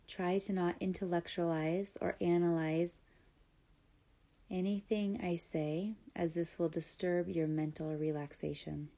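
A woman guides a relaxation practice from a script in a soft-edged, very mellow voice. Her pitch sits a little low and she moves very slowly. The manner is calm, measured and soothing, with room left between instructions. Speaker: yoga nidra guide.

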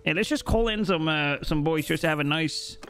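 A man's voice: on the high side, a little fast, a bit bright, smooth. A young man from Norway on a headset, chatting with his live-stream chat while playing, jokey and rambling. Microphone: headset mic.

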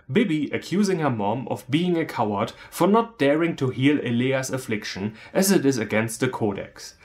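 A man's voice: mid-range voice, darkish tone. A man in his thirties with a German accent, giving a scripted comedic film review in a sarcastic, theatrical manner. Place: small room indoors, on a couch.

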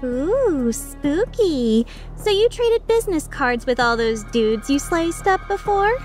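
A woman's voice: very high voice, big pitch swings, moderate pace, soft background music. A woman voicing a cocky female mercenary leader in a video game fan dub, playful, sarcastic and taunting with an edge of menace. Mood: teasing menace.

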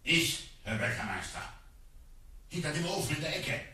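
An actor playing a sardonic, imperious talking tiger in a radio play, with a dry, commanding manner. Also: male voice, on the high side, sing-song, normal speed, pushed and bright, echoey room, heavily acted. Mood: courteous, sly. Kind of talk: 1980s German radio play acting.

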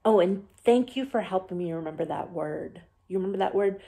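A woman in her forties hosting a storytime for young children, talking playfully with puzzled, questioning pauses as if forgetful. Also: fairly low pitch, vocal fry, dark tone. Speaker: children's library storytime host. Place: small bedroom, indoors.

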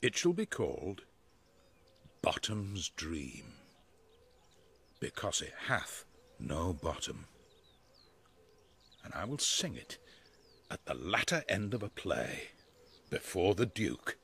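An actor playing a bumbling, pompous weaver in a Shakespeare radio drama, declaiming haltingly in a husky voice. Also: male voice, sits low, very animated, fairly bright tone, heavily acted. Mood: grandly pleased. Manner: slow, halting, awestruck declaiming. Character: Bottom, a bumbling pompous weaver waking from a dream. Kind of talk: British radio drama, theatrical Shakespeare soliloquy.

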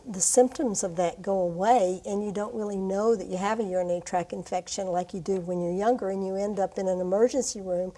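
This is an older woman. Her voice is mellow and rounded, with no hard edge. She speaks as an expert explaining things at a round-table studio discussion. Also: medium pitch. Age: older, around 60s-70s. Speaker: expert panelist. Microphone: lapel mic.

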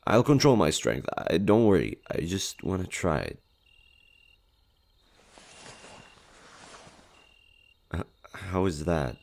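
A man voicing a shy, gentle skinwalker monster in an audio roleplay, speaking hesitantly with innocent curiosity about humans. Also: low-pitched, full character voice. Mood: tender, curious. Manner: hesitant, halting, innocent wonder. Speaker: voice actor. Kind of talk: intimate audio roleplay, one-sided dialogue.

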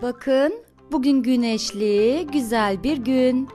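A woman narrating a children's cartoon in a simple, expressive way, talking to the characters and asking questions. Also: fairly high pitch, slow. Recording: studio voice-over with faint music behind. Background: faint music.

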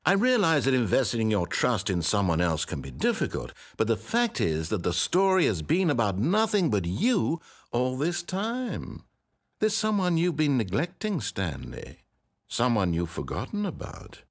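A man's voice: medium pitch, huge pitch swings, unhurried, dry recording, heavily acted. An actor playing a smug, mock-polite male narrator of a video game, speaking with sardonic theatrical wit. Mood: wry.

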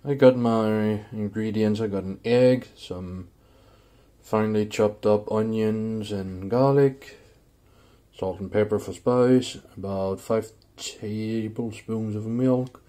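A man casually narrating a home cooking vlog in a laid-back, somewhat halting way. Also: lowish voice, mellow. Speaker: home cook, video host. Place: indoors in a home kitchen.

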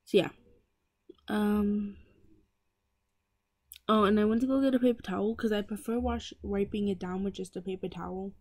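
A woman's voice: mid-range voice, fairly even intonation, normal speed, pauses often, a little mellow, no room sound. A teenage girl talking casually about packing her lunch in a home kitchen, rambling with lots of fillers and restarts. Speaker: vlogger. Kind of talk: casual voice-over chat.

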